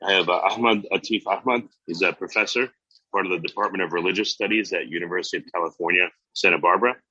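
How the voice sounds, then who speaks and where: Give me a man hosting an interview podcast, low-pitched with a bright edge. His tone is casual and conversational, and the sound is dry and slightly lo-fi. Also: fast talker, husky, no room sound. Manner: conversational, loose and musing. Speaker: podcast host.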